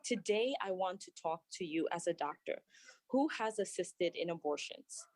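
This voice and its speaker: A young woman doctor reads earnest prepared testimony at a hearing, her voice low and steady. She stumbles and restarts now and then. The sound is dry and close, with no room echo. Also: normal speed. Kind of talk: prepared testimony, read aloud. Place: at work, remote testimony.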